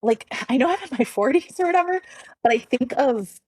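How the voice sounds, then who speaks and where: A woman's voice: high-pitched, animated, a little fast, a little mellow, husky, dry recording. A woman in her forties chatting informally and in a self-deprecating way as a podcast guest. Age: 40s. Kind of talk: casual conversational interview.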